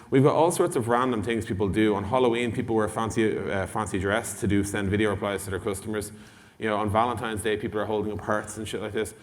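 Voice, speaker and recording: A man giving an informal product talk on a conference stage, casual and blunt, as an executive addressing a room. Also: on the low side. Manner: casual, blunt, mildly sweary, uses acted-out dialogue. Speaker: conference speaker, tech company executive. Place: large indoor conference hall. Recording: long hall reverb from conference stage.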